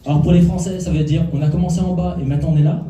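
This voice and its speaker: A man's voice: a little high, fairly even intonation, racing, warm tone. A man doing a stand-up routine on a club stage, teasing the crowd and working the audience. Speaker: stand-up comedian. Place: comedy club stage before a live audience. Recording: echoey room, from far off.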